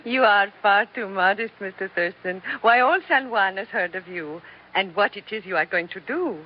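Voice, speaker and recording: A woman's voice: mid-range voice, lightly acted. Woman playing a coy femme fatale, muffled radio sound. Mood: flirtatious, coy. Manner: coy, gracious, deliberate. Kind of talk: 1940s radio acting. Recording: muffled, noisy old radio recording.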